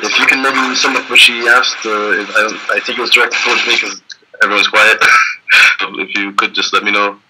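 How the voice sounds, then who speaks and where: A man's voice: lowish voice, fairly even intonation, fairly quick, low-quality recording. A man on a bad internet connection, easygoing and apologetic as he tries to follow an online debate. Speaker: debate panelist. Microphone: video call. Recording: loud noise on a bad internet call.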